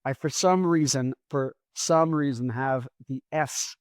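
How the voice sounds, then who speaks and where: A man's voice: mid-range voice, measured pace, dry recording, clean studio sound. A man hosting a podcast in a rambling, casual solo monologue. He mumbles his words and lets his S sounds drag out. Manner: rambling, self-deprecating. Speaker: podcast host.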